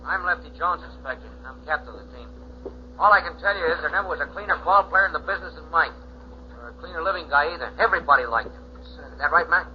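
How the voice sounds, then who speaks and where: Actor as a quick-talking, earnest team captain. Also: male voice, a little high, lightly acted. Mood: earnest, angry. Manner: earnest, slangy. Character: loyal, tough male baseball team captain. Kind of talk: 1940s radio acting. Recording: muffled 1940s radio recording with hum.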